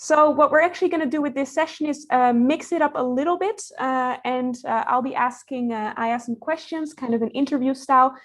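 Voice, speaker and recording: A woman hosting an online career event and interviewing a guest, speaking in a measured way with many hesitations and fillers. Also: high-pitched.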